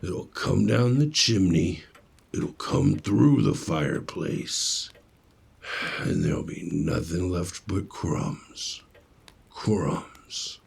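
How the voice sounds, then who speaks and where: A man voicing an ancient, forlorn gingerbread ornament in a radio story, with a raspy, broken voice and slow, halting, ominous delivery. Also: low voice, heavily acted. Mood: ominous warning. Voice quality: raspy, croaking, broken old voice. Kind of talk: radio story character acting.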